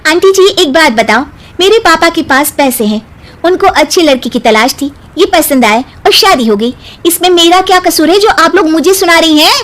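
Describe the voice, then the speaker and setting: A woman's voice: very high voice, expressive, normal speed, a bit bright. A woman voicing a short, witty young bride in a cartoon story, answering taunts with cheeky comebacks. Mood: firm. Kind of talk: cartoon voice acting.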